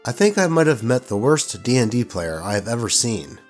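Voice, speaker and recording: A man narrating stories in an expressive, colloquial way, with casual commentary mixed in. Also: medium pitch, a little fast, soft background music. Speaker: host reading online tabletop horror stories.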